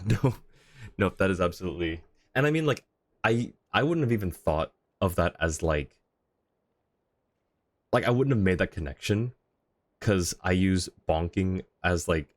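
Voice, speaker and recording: A man livestreaming a game with casual, thoughtful commentary as he chats with viewers, often pausing to find his words. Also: sits low, dry recording. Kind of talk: casual live commentary, answering chat.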